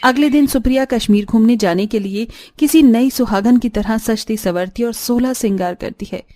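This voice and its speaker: Woman narrating a cartoon story, highish warm voice, light music behind. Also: normal speed.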